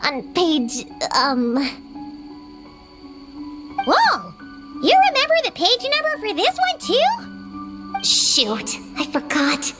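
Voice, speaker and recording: High-voiced, determined little witch girl. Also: huge pitch swings, extremely slow, pauses often, over loud music, full character voice. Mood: sheepish. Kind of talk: video game voice acting.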